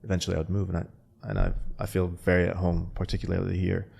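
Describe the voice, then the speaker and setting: A man who grew up in Canada, a startup founder being interviewed, talking in a relaxed way full of fillers and restarts. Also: low-pitched, quick pace, creaky, slightly rough. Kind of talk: casual interview talk.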